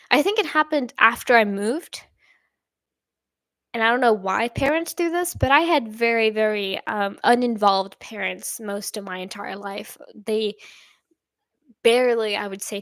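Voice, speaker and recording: A young woman hosting a casual live stream, reading viewer comments and rambling with lots of fillers and soft laughs. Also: dry recording. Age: young adult. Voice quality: somewhat deep voice. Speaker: reaction channel host.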